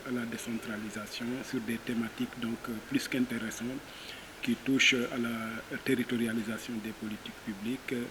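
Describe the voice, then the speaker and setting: A man giving an unscripted recap of an event, halting and hesitant, with many fillers. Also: mid-range voice. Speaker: workshop participant. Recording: clearly audible background hiss.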